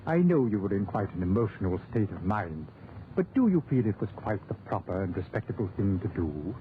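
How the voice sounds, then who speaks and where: A man's voice: on the low side, sing-song, fairly quick. An actor playing a prim, anxious clergyman with a hesitant, proper manner in a 1940s radio drama. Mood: disapproving. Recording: tinny, lightly noisy 1940s radio recording.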